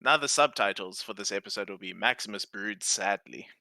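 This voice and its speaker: A man's voice: fairly low pitch, fairly quick, creaky, bright, forceful tone, no room sound. A man, a native Afrikaans speaker, running a tabletop game as game master and joking with the players.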